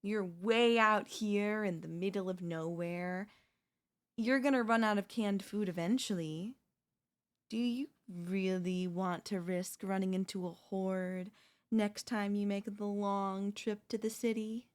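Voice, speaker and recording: A woman performs a female zombie in an audio roleplay, chatty and falsely friendly. She coaxes the listener at an unhurried pace, wheedling and catching herself in small slips. Her pitch sits in a middle female range. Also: steady tone, lightly acted. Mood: pushy, wheedling. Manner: wheedling, conversational, with hesitant slips and self-corrections.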